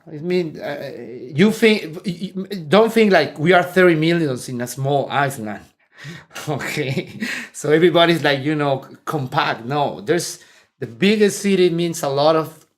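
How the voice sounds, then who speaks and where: Interview guest: Mexican man, 40s, Spanish native, slightly high voice, friendly and rambling, filmed indoors close up. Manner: friendly, laughs often, rambling with restarts. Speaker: interview guest, martial arts instructor. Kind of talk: casual interview chat. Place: indoor room, seated.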